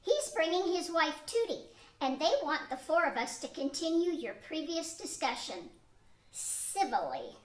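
A woman's voice: high voice, very animated, a little slow. An older woman playing Marge, an earnest, practical wife, reading steadily from a script in an amateur radio play. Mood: calm. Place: studio room with a cloth backdrop. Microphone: stand mic on a tripod. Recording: echoey room.

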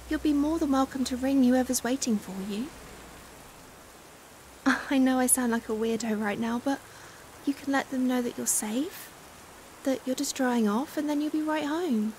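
A British woman playing a caring, fussing young stranger in a chatty, expressive ASMR roleplay. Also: sits high. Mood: coaxing, flustered. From United Kingdom. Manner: chatty, expressive, giggly hesitations. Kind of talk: acted ASMR roleplay, conversational. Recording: hiss and steady rain sound. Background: rain falling.

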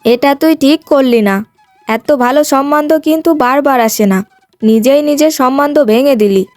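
A woman as a high-voiced, practical village mother. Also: moderate pace, a little mellow, soft background music, close to an everyday voice. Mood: scolding. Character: scolding middle-aged village mother, practical and teasing.

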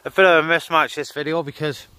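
A man's voice: fairly high pitch, fairly even intonation, fast talker, sharp tone, slight background noise, poor audio. A man chatting casually as a radio hobbyist recording a vlog outdoors on a handheld device. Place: outdoors at night on a hillside. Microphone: handheld camera or phone.

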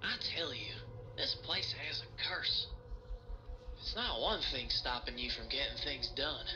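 A man's voice: medium pitch, big pitch swings, measured pace, sharp tone, a little hiss or hum, exaggerated voice. An actor playing a chatty, cheerful male animator with a folksy, gossipy way of talking. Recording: muffled lo-fi sound.

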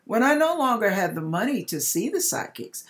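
A middle-aged woman reading a personal testimony aloud in a deliberate, dramatic storytelling manner, with pauses. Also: mid-range voice, sing-song. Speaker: host reading a viewer's testimony. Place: home kitchen, indoors.

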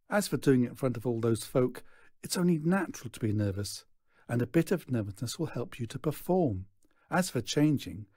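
A man's voice: mid-range voice, warm tone, breathy edge, dry recording. Guy doing a seasoned showman from a kids book, encouraging and emphatic, dry recording. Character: encouraging seasoned showman, a man mentoring a boy.

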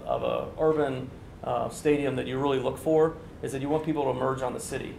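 Man, forties, construction official, press briefing, monotone delivery, echoey conference room. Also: medium pitch, creaky, slight background noise. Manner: explanatory, businesslike, with many fillers. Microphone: standing microphone, TV camera.

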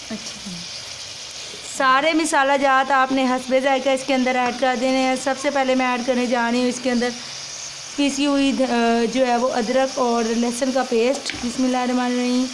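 Young woman walking thru a home recipe, chatty, slightly high voice, lots of background noise outside. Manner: chatty step-by-step explaining, frequent religious blessings. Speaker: home cooking vlogger. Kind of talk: casual cooking demonstration. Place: outdoor courtyard at home.

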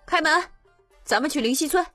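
Audiobook role: forthright bandit chief played by a woman, high-pitched, lively intonation, bold delivery. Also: big-room sound, faint music behind. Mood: decisive. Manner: forthright, unhurried. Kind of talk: audiobook character dialogue.

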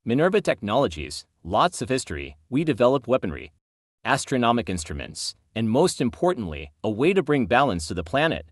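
A man playing a proud captive tech founder under questioning, deliberate and self-important, in an amateur audio drama. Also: medium pitch, very animated, no echo, clean studio sound. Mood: defiant. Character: proud captive male technology company founder.

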